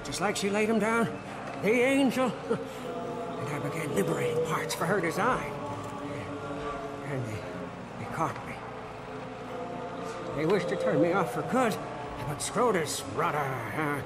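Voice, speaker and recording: An actor playing a manic, zealous mechanic in a video game, excitable, stammering and theatrically reverent. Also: male voice, fairly high pitch, a little slow, long pauses, loud music behind the voice. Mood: agitated, excitable. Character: manic, zealous hunchback mechanic who worships an engine angel. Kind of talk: video game character acting.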